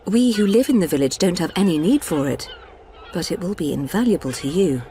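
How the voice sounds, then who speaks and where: A low female voice from an actress plays a gentle, cautious engineer-healer in a video game. The phrasing is measured and earnest. Faint ambience sits behind the voice. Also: animated, slight background noise, natural voice. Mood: caring, instructive. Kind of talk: scripted video game cutscene acting.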